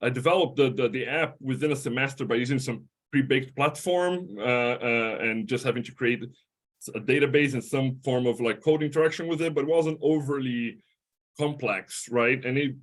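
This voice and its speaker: A middle-aged man with a Portuguese-speaking accent gives a lecture as a history professor. His delivery is halting, full of fillers, restarts and tag questions. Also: medium pitch. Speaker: history professor giving a talk. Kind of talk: academic lecture.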